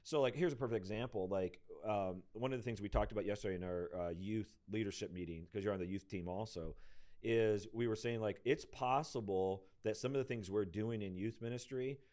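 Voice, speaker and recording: A hoarse middle-aged man with a somewhat low voice talks through ideas in casual conversation. He halts and restarts often, feeling his way toward each point. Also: darkish tone. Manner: halting, many restarts, thinks aloud. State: losing his voice. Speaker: pastor and podcast co-host.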